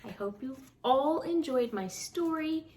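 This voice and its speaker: A woman reading a picture book aloud to children, speaking slowly and expressively, talking directly to the kids. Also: sits high, a little hiss or hum. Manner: warm, slow and expressive, addressing kids. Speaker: storytime reader.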